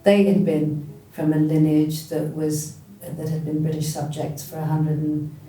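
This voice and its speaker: Flat, wry voice with heavy echo. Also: unhurried. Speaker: interviewee. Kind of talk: documentary interview. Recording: echoey distant mic, low rumble.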